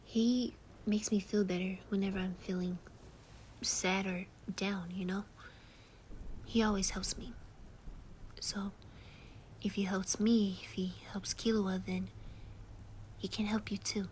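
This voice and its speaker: A woman voicing a gentle young boy who comforts his best friend in an ASMR roleplay, speaking softly and often in a whisper. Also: mid-range voice, steady tone, slow, long pauses, a little hiss or hum, close to an everyday voice, poor audio. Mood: soothing. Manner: soft, soothing, often whispered.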